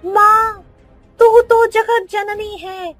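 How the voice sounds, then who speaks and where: A ghostly witch mother speaks in an extremely high voice, dragging her words dramatically. She is vengeful and grieving, straight out of a cartoon horror tale, with faint music underneath. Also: steady tone, a bit bright, heavily acted. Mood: anguished pleading. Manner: dramatic, slow, drawn-out delivery. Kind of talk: Hindi cartoon horror story acting.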